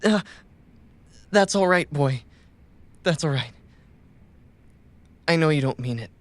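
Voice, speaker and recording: An actor playing a wounded, loyal cyberpunk runner in an audio drama, tenderly and haltingly reassuring a companion. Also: male voice, on the high side, expressive. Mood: strained, tender. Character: wounded, loyal male caravan runner gently soothing his companion.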